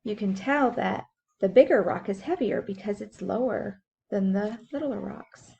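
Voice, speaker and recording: A woman's voice: medium pitch, unhurried, vocal fry, mellow, lo-fi sound. A woman teacher reading a science book aloud for young children, explaining things simply and putting questions to her listeners. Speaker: school teacher.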